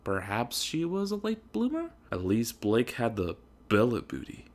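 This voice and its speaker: A man's voice: mid-range voice, wildly swooping pitch, unhurried. A man narrating a comedic dramatic reading in a theatrical, emphatic, mock-serious manner.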